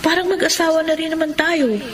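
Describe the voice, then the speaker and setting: A woman's voice: extremely high pitch, fairly even intonation, fairly quick. An actress with a Filipino accent playing a devoted young live-in girlfriend in a radio drama. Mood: affectionate, reassuring. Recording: noisy ambience behind the voice.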